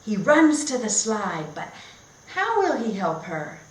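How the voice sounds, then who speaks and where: A woman speaks slowly in a roomy, echoing space, as a teacher would for learners. Her pitch sits in an ordinary middle range, and her phrasing is simple and expressive, suited to reading aloud and instructing. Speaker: teacher trainer. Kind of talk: read-aloud storytelling and teaching tutorial. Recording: echoey room.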